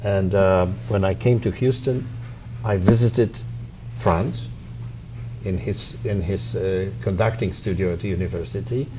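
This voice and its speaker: A middle-aged man from Poland with a Polish accent, a violin professor answering interview questions in a measured, reflective way with frequent hesitations. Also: sits low, steady tone, noisy background. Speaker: violin professor and orchestra co-founder.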